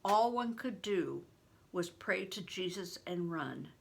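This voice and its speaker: An older woman reading a novel aloud in an expressive, deliberate storytelling manner, stressing words for drama. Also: lowish voice, creaky, husky. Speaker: reader, retired reading teacher. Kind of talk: expressive audiobook-style read-aloud of a novel.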